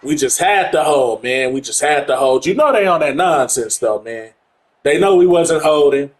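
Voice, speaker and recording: A man's voice: on the high side, normal speed. A man doing casual play-by-play of his own football video game, his voice congested from allergies. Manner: casual play-by-play, gets loud and excited on big plays. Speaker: gamer playing through a football game. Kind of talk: play-by-play commentary on own gameplay.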